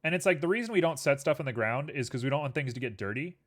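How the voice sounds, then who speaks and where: A young man talks in a loose, rambling way while he works on a 3D model on a live stream. His voice sits at a middle pitch, and he keeps up an easygoing running commentary, speaking both to his viewers and to the objects on screen. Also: expressive, fast talker.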